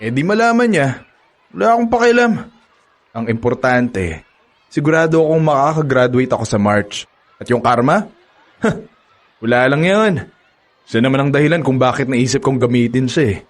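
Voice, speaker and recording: A man's voice: mid-range voice, expressive, moderate pace, pauses often, lightly acted. An actor playing a cocky, boastful young male college student who uses women, laughing smugly as he brags. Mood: cocky boasting. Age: young adult. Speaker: actor in a radio drama. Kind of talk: radio drama acting.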